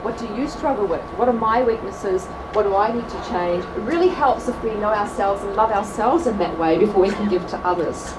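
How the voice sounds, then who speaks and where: A woman with an Australian accent presenting a class to an audience at a church event, chatty and quick to laugh at herself. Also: mid-range voice. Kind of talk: live talk to a class. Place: large room with an audience. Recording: echoey hall from far off, crowd noise.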